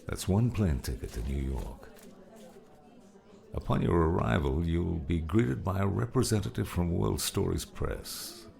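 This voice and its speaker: An actor playing a weary, kindly older mentor and veteran guardian in an audiobook drama, with a gravelly voice. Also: male voice, low voice, lively intonation, a little slow, darkish tone, exaggerated voice. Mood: gentle, reassuring. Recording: music underneath.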